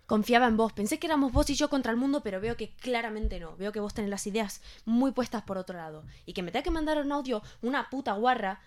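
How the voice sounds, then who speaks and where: A woman's voice: fairly high pitch, fast talker, few pauses, darkish tone, natural voice. A woman acting a wounded, indignant girlfriend in a naturalistic audio drama, reproachful and direct. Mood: hurt, indignant.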